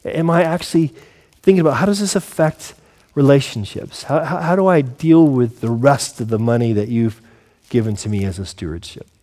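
A man's voice: mid-range voice, moderate pace, warm tone. A man in his forties speaking as a pastor, giving a sermon in an earnest, conversational way and putting questions to his listeners. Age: 40s.

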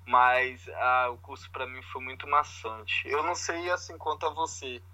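A man's voice: medium pitch, steady tone, normal speed, pushed and bright, dull audio. A Brazilian man chatting casually and informally in Brazilian Portuguese, telling anecdotes with plenty of slang, as a podcast host. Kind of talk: casual conversational chat.

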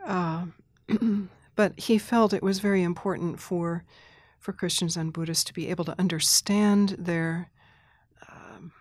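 A middle-aged woman, an interview guest who grew up in Thailand, speaking thoughtfully with restarts, soft laughs and throat clearing. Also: lowish voice, no echo, studio quality. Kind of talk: reflective conversational interview.

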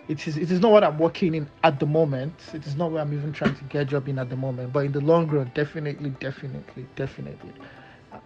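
A man's voice: on the high side, darkish tone. A man in his twenties answering interview questions, repeating himself while searching for words. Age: 20s. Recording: dull lo-fi audio with faint music.

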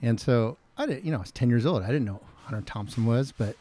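A middle-aged man from Kentucky telling a family story in casual chat, halting and full of fillers. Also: mid-range voice, lively intonation, warm tone. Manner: halting, many fillers and repeats. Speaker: guest.

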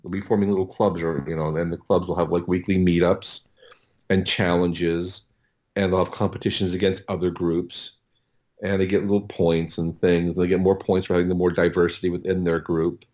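A man talking casually as an interview guest, explaining things in a halting way and often repeating his words. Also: low-pitched, little pitch movement, a little mellow. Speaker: interview guest, audio producer.

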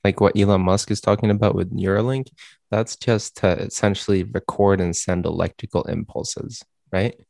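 A man's voice: low-pitched, fairly even intonation, moderate pace, gravelly, a little mellow, no room sound, clean studio sound. A man on a podcast explaining things emphatically, often repeating himself. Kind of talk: casual chat.

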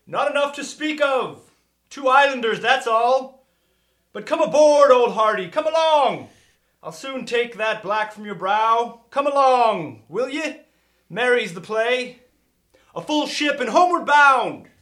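Man playing a very high-pitched, jolly whaling captain. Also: lively intonation, measured pace, a bit bright, heavily acted. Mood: jolly, inviting. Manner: hearty, calling out. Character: jolly, boastful whaling captain, hailing heartily. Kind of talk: dramatised audiobook character reading.

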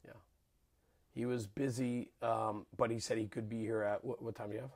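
A man of ordinary pitch hosting a podcast in a studio. He rambles through loose, teasing banter, full of fillers and self-interruptions. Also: little pitch movement, normal speed, creaky. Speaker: podcast host. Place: studio with cameras.

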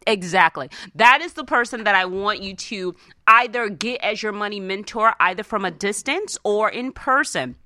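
A woman's voice: medium pitch, normal speed, dry recording, clean studio sound. A woman hosting a radio show, coaching listeners in a conversational, emphatic way, often checking in with them and acting out imagined exchanges. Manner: animated, emphatic, acts out imagined dialogue; punctuates points with check-in questions. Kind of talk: motivational talk to listeners, conversational.

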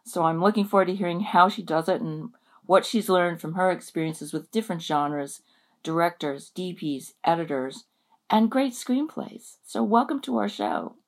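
A woman's voice: on the low side, normal speed. A woman co-hosting a podcast, delivering a scripted introduction for a guest. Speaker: podcast co-host.

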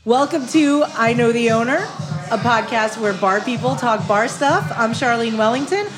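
A woman hosting a podcast, chatting casually with her guests and laughing often. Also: a little high. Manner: laughs often, quick reactions. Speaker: podcast host. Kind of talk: casual interview chat. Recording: music playing in a bar.

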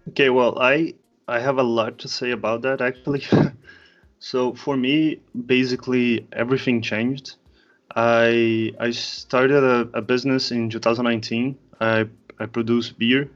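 A man's voice: mid-range voice, little pitch movement, a little slow. Young Brazilian man, wry, lo-fi sound. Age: young adult. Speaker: guest. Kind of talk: casual interview answers.

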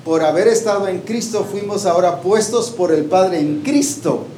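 A man with a high voice preaches a sermon, putting questions to his listeners and pausing for their replies. His voice rings through an echoey hall, and a faint hiss sits underneath. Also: warm tone. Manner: asks the congregation questions and waits for answers. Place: church hall with a congregation.

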